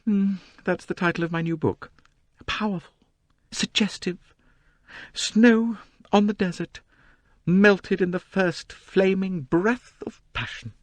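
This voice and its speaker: A man voicing a pompous middle-aged woman novelist with a high, complaining voice. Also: slow, long pauses, dry recording. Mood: gushing.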